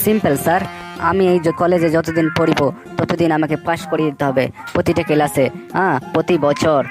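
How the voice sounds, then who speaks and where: A woman's voice: deep voice, fairly quick, natural voice. A woman voicing a cheeky, scheming young male student with a colloquial manner in a comic cartoon. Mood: sly, bargaining. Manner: colloquial, brazen, excitable. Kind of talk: amateur comic cartoon voice acting. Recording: music behind the voice.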